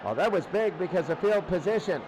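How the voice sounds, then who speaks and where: High-voiced man calling football over noise. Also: expressive, fairly quick, a crowd in the background. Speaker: play-by-play sports commentator. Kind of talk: American football radio-style play-by-play commentary.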